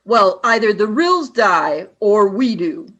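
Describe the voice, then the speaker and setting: A woman's voice: medium pitch, sing-song, slow pace, a bit bright, lo-fi sound, heavily acted. A woman voicing a cold, suspicious alien woman leader who speaks in clipped lines in a radio play. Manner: clipped, curt questions and statements. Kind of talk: amateur radio play acting.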